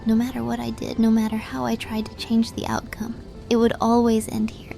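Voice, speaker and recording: A woman's voice: on the high side, completely flat delivery, fairly quick, creaky, dark tone, soft background music. A woman playing a tender, resigned young witch princess in an audio drama, pleading in half-whispers. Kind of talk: dramatic audio fiction acting.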